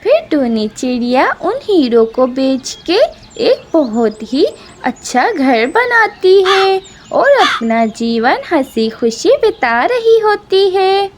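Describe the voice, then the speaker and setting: A woman's voice: high-pitched, sing-song, slow. A woman reading scripted narration for a children's story cartoon.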